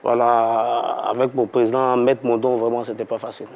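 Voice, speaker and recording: A man, a former footballer, giving halting, laid-back answers. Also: medium pitch, flat delivery, a little mellow. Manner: hesitant, laid-back answers with many fillers. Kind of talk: interview answers.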